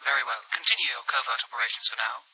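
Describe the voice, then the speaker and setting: A man's voice: medium pitch, rapid-fire, sharp tone, husky, low-quality recording. An actor playing a formal, commanding covert-operations controller, a man speaking clipped orders through a radio filter in an audio drama. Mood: formal, cool.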